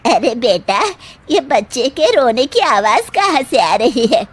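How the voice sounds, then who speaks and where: A woman's voice: on the high side, sing-song, slow, breathy edge, heavily acted. A woman voicing a bossy, fussy older mother-in-law in a cartoon, with a scolding, exclaiming delivery. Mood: puzzled. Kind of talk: Hindi cartoon dubbing.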